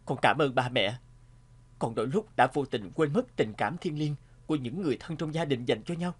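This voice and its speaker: A man playing a troubled, sentimental family man in an animated drama, speaking earnestly and reflectively. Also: mid-range voice, normal speed, husky.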